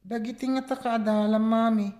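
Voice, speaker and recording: An actress playing an affectionate, admiring daughter in a radio drama. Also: female voice, fairly high pitch, fairly even intonation, normal speed, a little mellow, natural voice. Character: affectionate, admiring daughter chatting with her mother. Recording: roomy echo with music behind.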